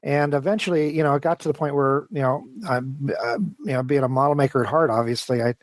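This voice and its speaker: A man being interviewed, talking casually and at length in a rambling, halting, detailed way as a guest engineer. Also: medium pitch, steady tone, no echo. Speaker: interview guest, model train design engineer.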